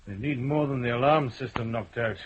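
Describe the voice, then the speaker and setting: An actor playing a steady police detective in a radio drama, speaking in a level, firm way. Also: male voice, mid-range voice, brisk, a little hiss or hum, close to an everyday voice. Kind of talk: 1970s radio drama acting. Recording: muffled old radio broadcast.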